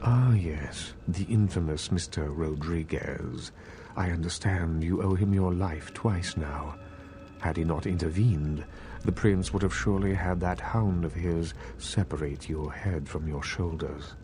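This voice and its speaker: An actor playing a courtly, guarded elder vampire in a video game, speaking in a formal, measured, condescending manner. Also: male voice, low-pitched, warm tone. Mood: dry disdain. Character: courtly, guarded elder male vampire clan leader, patronizing and calculating. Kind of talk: video game dialogue acting. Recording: faint background ambient noise.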